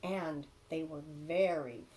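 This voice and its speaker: A woman's voice: low-pitched, steady tone, slow pace, background noise. A woman narrating a children's storybook expressively, like a teacher reading aloud to young children.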